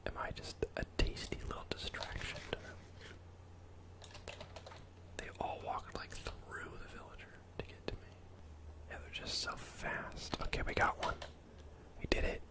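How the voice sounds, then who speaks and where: A man's voice: measured pace, pauses often. Whispering young man, gaming ASMR. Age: young adult. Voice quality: breathy, unvoiced whisper. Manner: murmuring, talking to himself as he plays. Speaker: gaming streamer. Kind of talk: whispered ASMR game commentary. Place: indoor room at home.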